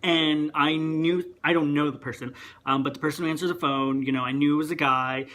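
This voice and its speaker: Chatty young man with a higher voice. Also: fairly even intonation, fairly quick, a bit bright. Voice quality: higher voice, sometimes heard as female. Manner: rambling, chatty, self-interrupting. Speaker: vlogger. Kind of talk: casual rant to camera.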